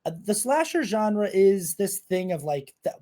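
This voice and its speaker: A man with a fairly high voice and a soft, mellow tone hosts a film podcast. He talks casually and feels his way through thoughts, restarting and correcting himself as he goes. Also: very animated, a little slow.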